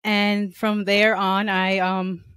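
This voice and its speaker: A woman in her forties from New York, a podcast guest talking in a relaxed, unhurried way from home into a stand mic on a video call. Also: mid-range voice, clear, resonant tone, dry recording, clean studio sound. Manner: relaxed, unhurried, laughs easily. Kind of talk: casual interview conversation. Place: home room. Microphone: studio mic on a stand, headphones, video call.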